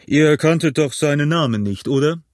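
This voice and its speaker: A male reader acts out a burly, shrewd storybook innkeeper. He pushes his voice up and out, loud and hearty. He blusters and commands with jovial, mock-stern authority, in a dry, close recording. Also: a little high, moderate pace, no room sound, pitched above the performer's own voice, natural voice. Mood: probing, stern. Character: big one-legged tavern keeper, jovial, shrewd, blustering. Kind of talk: acted audiobook character.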